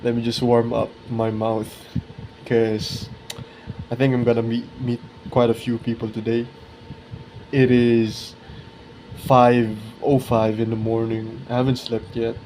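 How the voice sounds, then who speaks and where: A twenty-year-old man talking casually and reflectively to his viewers in a vlog, halting and correcting himself as he searches for words. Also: mid-range voice, completely flat delivery, warm tone, slight background noise, rough recording. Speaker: art video creator.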